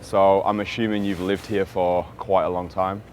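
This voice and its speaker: A man's voice: deep voice, flat delivery, moderate pace, a little mellow. A man doing a cheeky street interview outdoors, teasing and joking with a playful manner.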